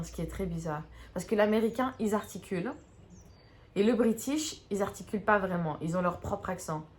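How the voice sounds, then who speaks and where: A young woman from Belgium, chatting casually to her camera, giggly and self-mocking. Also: medium pitch. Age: young adult. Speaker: YouTuber.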